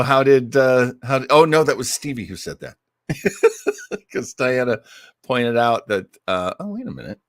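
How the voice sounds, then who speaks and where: A man chats casually as the host of a listening show, his voice sitting at a middling pitch. He rambles in a jokey, self-deprecating manner, and the sound is dry and free of room echo. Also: a bit bright. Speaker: host of an old-time radio listening show. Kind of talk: casual livestream chat.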